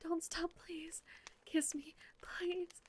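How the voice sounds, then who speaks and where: A woman speaks almost entirely in a breathy whisper, with a very high voice. She takes her time over every phrase, soft and pleading, with sighs between her lines. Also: little pitch movement, drawn-out words. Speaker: audio roleplay performer. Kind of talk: intimate whispered audio roleplay.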